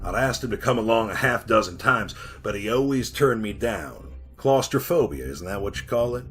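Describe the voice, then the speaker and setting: Mine guide, 1940s radio drama, colloquial, animated delivery, low-pitched, musical backing. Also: male voice, normal speed, faint music behind. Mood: brooding, confiding. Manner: folksy, colloquial storytelling. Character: mine guide recalling a dreadful past, brooding and cocky.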